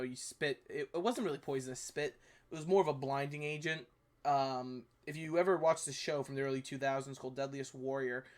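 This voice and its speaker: A man with a New York accent hosting a history review channel, talking casually and correcting himself as he goes. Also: mid-range voice. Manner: rambling, self-correcting, apologetic asides. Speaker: history video host. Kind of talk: casual commentary reviewing a video.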